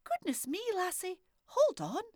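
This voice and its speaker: A woman with a Scottish accent voicing a kindly, frail old grandmother who fusses loudly. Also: very high-pitched, wildly swooping pitch, a little slow, no room sound, clean studio sound, voice pushed up, full character voice. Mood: flustered.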